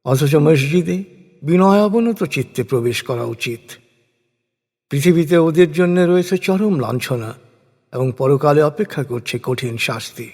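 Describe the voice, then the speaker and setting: A man narrating translated scripture in a solemn, measured, formal way, phrase by phrase with falling endings. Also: fairly high pitch, a little mellow, husky. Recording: long reverberant hall effect.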